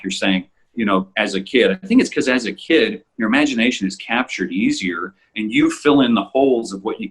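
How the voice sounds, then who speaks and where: A man in a casual behind-the-scenes chat, talking like a voice actor in a thoughtful, rambling, halting way. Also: on the low side, fairly bright tone, husky. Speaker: voice actor in an audio drama group.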